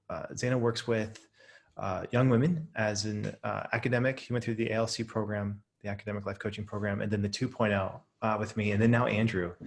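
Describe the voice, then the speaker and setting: A man's voice: lowish voice, gravelly. A man hosting an interview over a video call, rambling and enthusiastic with lots of filler words. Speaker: host, training company president. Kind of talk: interview conversation.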